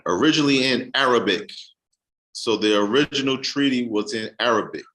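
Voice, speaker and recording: A man giving a casual explanatory talk, reading a text aloud with halting restarts. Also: mid-range voice, a bit bright, rough recording. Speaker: host.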